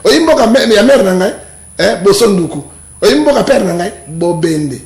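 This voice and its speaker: A Congolese man giving an animated, emphatic argument as an interview guest, repeating himself and throwing out rhetorical questions. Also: high-pitched, normal speed, vocal fry. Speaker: self-styled prophet interviewed as guest. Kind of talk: animated political and religious argument. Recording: echoey, reverberant room.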